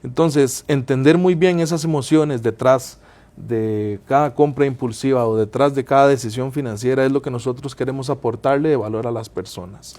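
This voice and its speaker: A man, a financial advisor and guest on a talk show, explaining his advice in a measured way. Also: medium pitch, darkish tone. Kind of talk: interview answers.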